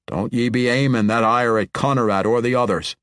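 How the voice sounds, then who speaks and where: A man voicing a boisterous, gruff male wild dwarf leader with a hearty, folksy brogue. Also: mid-range voice, steady tone, normal speed, no room sound, studio quality, voice pushed up, natural voice. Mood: steadying. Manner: hearty, folksy dwarven brogue, loud calls.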